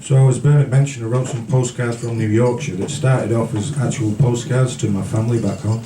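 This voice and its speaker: A man from the British Isles reading poetry live at a café event in a measured storytelling manner, with brief casual asides. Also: mid-range voice, fairly even intonation, dark tone. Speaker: featured poet. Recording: roomy, distant, noisy live recording.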